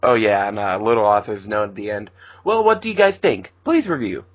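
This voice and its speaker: A man's voice: on the low side, animated, a little fast, lo-fi sound. A man hosting and narrating an amateur fan fiction reading informally, with casual asides and stumbles. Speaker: narrator and host.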